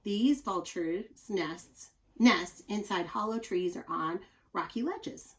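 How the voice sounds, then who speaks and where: A woman with a mid-pitched voice reads a children's nonfiction book aloud. She sounds like a teacher, explaining expressively and speaking directly to young listeners with chatty asides. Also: animated, slow pace.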